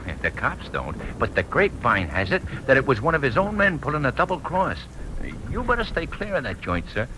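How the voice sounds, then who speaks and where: An actor playing a gabby, streetwise taxi driver in a vintage radio crime drama, with a folksy, emphatic, slightly stammering delivery. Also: male voice, medium pitch, wildly swooping pitch, fairly quick. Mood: gossipy. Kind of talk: 1940s-50s radio crime drama acting. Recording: noisy old radio recording, rain over it.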